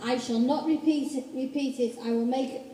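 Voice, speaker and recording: Casting: woman playing a nervous, deferential underling clerk, high and soft-toned, radio play in a reverberant hall. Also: steady tone, natural voice. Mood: nervous, apologetic. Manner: timid, deferential, halting. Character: meek, apologetic male clerk, nervous underling. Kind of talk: amateur radio play acting. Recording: quiet, echoey hall.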